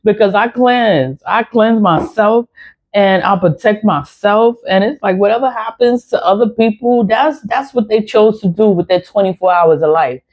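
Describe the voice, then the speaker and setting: A woman's voice: medium pitch, normal speed, a little mellow. A woman in her forties ranting bluntly to her viewers, swearing often and hammering her points with repeated phrases. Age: 40s. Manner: repetitive, emphatic phrasing. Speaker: spiritual vlogger. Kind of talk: unscripted monologue to viewers. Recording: dull lo-fi recording.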